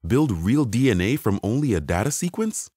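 A man's voice: medium pitch, big pitch swings, normal speed, warm tone, breathy edge, dry recording, clean studio sound. A man playing an incredulous, money-minded manager in an audio story, with an astonished, questioning delivery. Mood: questioning, intrigued. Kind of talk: acted dialogue in an audio short story.

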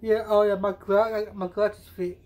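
A young man talks casually as an amateur vlogger on a video call. His delivery is halting and he often repeats words. He is recorded on a phone camera in a small room at home. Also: sits high, flat delivery, a little mellow. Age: young adult. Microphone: phone camera at arm's length.

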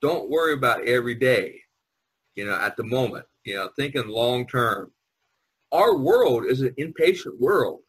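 A man's voice: mid-range voice, sing-song, normal speed, husky. A man hosting a casual artists' podcast over an online call, informal and jokey, acting out little quotes as he chats. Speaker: podcast host and editor, artist. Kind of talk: casual chat. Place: online video conference call. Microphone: video call.

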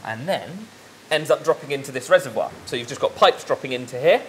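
A young man on staff at a fish shop, explaining his tanks in a relaxed way with lots of ums and yeahs, recorded in a large indoor shop. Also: medium pitch, fairly quick, a little hiss or hum, rough recording. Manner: relaxed, explains with fillers like um and yeah. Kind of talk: casual walkthrough chat.

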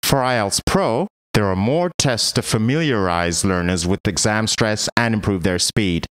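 A man reading a scripted instructional voice-over in a measured way, setting out points one by one. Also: on the low side, fairly bright tone, clean tone. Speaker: voice-over narrator.

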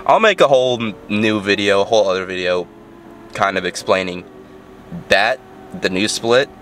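A man's voice: lowish voice, a bit bright. A young man with a nasal, congested voice, rambling casually to a handheld camera from inside his car, full of fillers and restarts. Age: young adult. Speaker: fitness vlogger. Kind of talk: casual vlog talk to camera. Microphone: camera held at arm's length.

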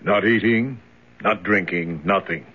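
Downbeat henchman, short answers, lowish, old muffled radio recording. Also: measured pace, husky. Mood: glum, doubtful. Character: glum, reluctant male henchman. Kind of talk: 1970s radio drama acting. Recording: dull vintage radio recording.

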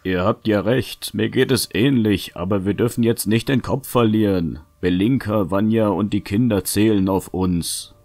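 A man's voice: fairly low pitch, moderate pace, hardly any pauses, a little mellow, no echo, natural voice. A man playing a level-headed, concerned young adventurer in a fantasy audio drama. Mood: urgent, reasoning. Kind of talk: fan-made fantasy audio drama acting.

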